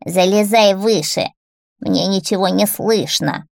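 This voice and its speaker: A woman voicing an ancient, hot-tempered witch in a storybook, hoarse, creaky, snappish and threatening. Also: medium pitch, takes it slowly, no echo, clean studio sound. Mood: irritated.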